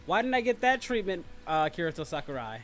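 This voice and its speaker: A man's voice: sits high, very animated, brisk, soft background music. A man hosting a casual livestream, chatting with viewers in a rambling, repetitive way with teasing complaints. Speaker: livestream host. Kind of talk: casual livestream chat, reading and answering viewer comments.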